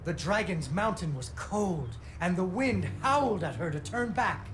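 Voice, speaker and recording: A woman playing a mother who narrates a playful storybook adventure in a video game, with expressive delivery and dramatic pauses. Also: on the low side, slight background noise. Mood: gentle storytelling.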